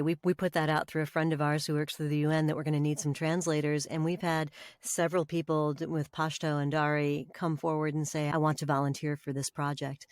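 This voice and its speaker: A man's voice: fairly high pitch, no room sound. Male podcast host in a dry recording. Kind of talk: conversational interviewing.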